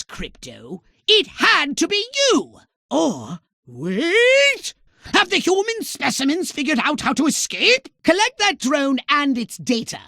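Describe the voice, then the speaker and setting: An actor voicing a fussy, haughty, scheming alien scientist commander for a video game, with a pedantic, sardonic, theatrical delivery. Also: male voice, extremely high pitch, bright, forceful tone, no echo, clean studio sound, exaggerated voice. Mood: sardonic, accusing.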